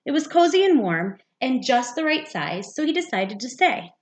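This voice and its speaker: A woman's voice: high voice, very animated, moderate pace. A woman, a teacher, reading a picture book aloud for young children. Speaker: second-grade teacher.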